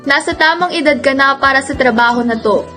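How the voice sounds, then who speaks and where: Woman doing mean bossy madam who runs a bar, high pitched, curt, greedy, radio drama. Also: brisk, pushed and bright, faint music behind. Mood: stern. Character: cold, domineering, greedy female bar owner and guardian.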